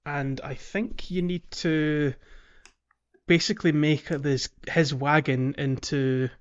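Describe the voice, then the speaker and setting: A man's voice: a little high, fairly even intonation, slow pace, clear, resonant tone, lo-fi sound. A man co-hosting a gaming podcast in casual chat, recapping things in a chatty, descriptive way. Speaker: podcast host.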